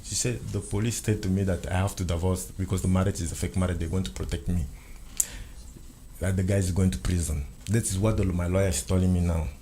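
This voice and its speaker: Earnest French-speaking man, low voice. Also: fairly even intonation, fast talker, mellow, slight background noise. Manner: repeats and restarts phrases, earnest and insistent. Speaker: guest. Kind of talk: recounting a personal ordeal in conversation.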